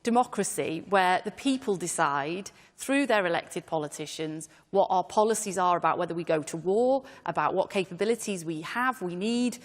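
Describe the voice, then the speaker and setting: Low female panelist, firm, hall reverb. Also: lively intonation, moderate pace, hardly any pauses. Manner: firm, corrective. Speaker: panelist, politician. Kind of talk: televised debate.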